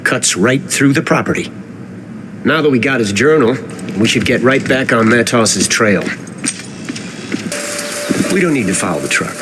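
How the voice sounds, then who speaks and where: An actor playing a wry, breezy adventurer companion in a video game cutscene, talking in a folksy, wisecracking way. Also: male voice, medium pitch, animated, moderate pace, pauses often, background noise, close to an everyday voice. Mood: wry, brisk.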